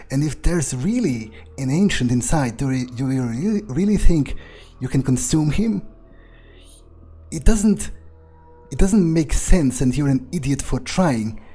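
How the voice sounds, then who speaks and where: A man's voice: medium pitch, mellow, husky. A man roleplaying his character while playing a game, defiant and swearing freely. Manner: defiant, swears, mocking laughs.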